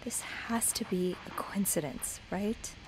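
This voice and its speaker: A woman's voice: mid-range voice, slow pace, whispered, natural voice, low-quality recording. A woman playing a nosy, anxious young hotel maid in a mystery game, with a hesitant, earnest manner. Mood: musing. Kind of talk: narrative mystery game acting, natural dialogue.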